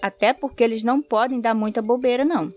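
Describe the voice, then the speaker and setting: A woman co-hosting a podcast, reading scripted narration in a clear, friendly way. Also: on the high side, fast talker, darkish tone, highs cut off, soft background music. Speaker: podcast co-host.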